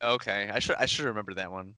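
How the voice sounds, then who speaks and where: A man's voice: fairly low pitch, fast, pushed and bright. A man chatting and bantering casually as a podcast panelist.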